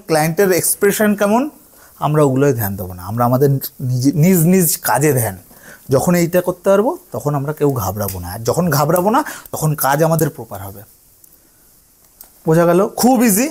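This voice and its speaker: A man's voice: a little high, darkish tone. A man giving a hands-on lesson as a hairdressing instructor, repeating his phrases and often checking that learners follow. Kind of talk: hands-on tutorial to trainees.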